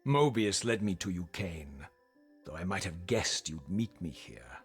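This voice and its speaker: A vengeful, tormented wraith in a gothic video game. The voice sits on the low side and speaks with eloquent, archaic formality and grim resolve. Faint music plays underneath. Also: male voice, lively intonation, a little slow. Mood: accusing, defiant. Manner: formal, archaic, solemn diction. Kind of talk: dramatic scripted video game narration and dialogue.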